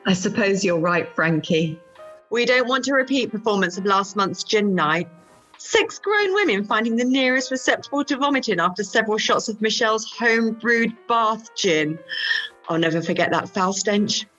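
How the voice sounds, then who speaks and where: A woman's voice: medium pitch, animated, normal speed, a bit bright, dull audio, soft background music, lightly acted. A woman playing a teasing, melodramatic middle-aged friend in an amateur radio play. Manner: melodramatic, sardonic. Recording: home recording over video call.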